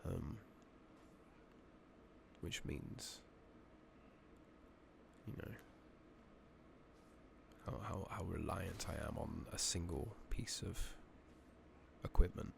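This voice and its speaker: A British man murmurs softly in a very deep, crackly voice with plenty of fry. He muses hesitantly and casually as he plays a game, ASMR style. The close microphone carries clear background noise. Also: extremely slow, long pauses. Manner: rambling, hesitant, casual musing. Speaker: streamer playing an online fantasy game. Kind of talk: soft-spoken, whispering ASMR game commentary. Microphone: close makeshift microphone. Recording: noisy, slightly loud close microphone.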